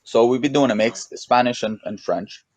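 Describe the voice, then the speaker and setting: A man with a Mexican accent chatting casually as an interview guest, relaxed and rambling, with many restarts and "you know"s. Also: mid-range voice, moderate pace, no echo. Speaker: guest, pro paddle racer.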